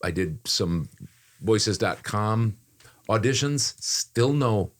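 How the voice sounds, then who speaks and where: A man's voice: on the low side, animated, slow pace. An older man co-hosting a casual podcast, talking in a rambling, self-deprecating way about his work. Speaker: voice-over talent, podcast co-host. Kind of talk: casual chat.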